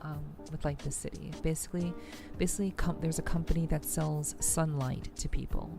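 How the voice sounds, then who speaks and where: Female livestream host, low and fry-heavy, gentle rambling ASMR-style chat, background music. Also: steady tone, a little fast. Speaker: streamer, host of a weekly live show. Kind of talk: soft-spoken ASMR game stream chat. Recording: music playing behind the voice.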